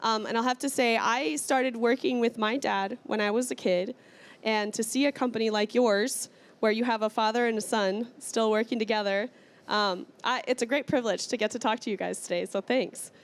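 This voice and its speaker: A woman from Iceland with a fairly high voice hosts a trade-show interview. She talks casually and with enthusiasm, keeping her questions informal. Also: fairly even intonation, moderate pace. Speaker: interview host.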